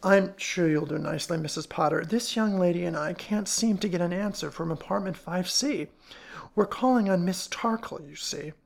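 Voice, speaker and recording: A woman's voice: lowish voice, normal speed, gravelly. A woman voicing a courteous, authoritative male detective with polite, educated phrasing in an audiobook detective story.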